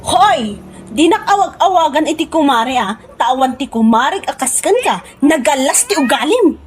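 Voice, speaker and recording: A woman's voice: high-pitched, animated, moderate pace, a little hiss or hum. An actress with an Ilocano accent playing a brash, scornful woman in a comedy radio drama, snapping melodramatically. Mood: scornful, haughty. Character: brash, scornful woman quitting her job for politics.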